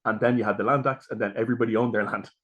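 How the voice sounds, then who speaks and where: A man's voice: fairly low pitch, steady tone, very fast. An Irish man hosting a history podcast, interviewing a guest in a conversational style.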